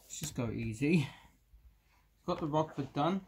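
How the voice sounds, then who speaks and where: A man's voice: fairly high pitch, lively intonation, a little slow, a little mellow. A man hosting a casual hands-on demo, thinking aloud in short, unscripted fragments. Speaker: video host testing speakers. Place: indoor room, seated on floor by speakers. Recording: clear background noise, clicks.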